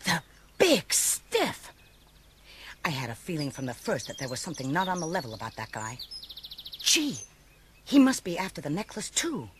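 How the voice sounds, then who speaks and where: Brassy American lady crook, very animated. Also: low-pitched, moderate pace, long pauses. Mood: startled, indignant. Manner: slangy, knowing. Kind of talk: 1980s radio drama acting. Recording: noisy radio recording with birdsong.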